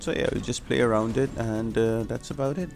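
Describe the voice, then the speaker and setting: A man's voice: medium pitch, fairly even intonation, fairly quick, gravelly, a little mellow. A man narrating a video game guide, explaining tactics step by step in an instructional way. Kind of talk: gameplay walkthrough commentary. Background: background music.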